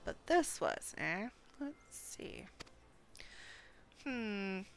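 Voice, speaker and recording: A woman's voice: mid-range voice, very animated, very slow, exaggerated voice. Woman reading as a folksy, bustling motherly game character. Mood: reassuring, curious. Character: brisk, chatty, motherly female game character. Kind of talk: reading game dialogue aloud.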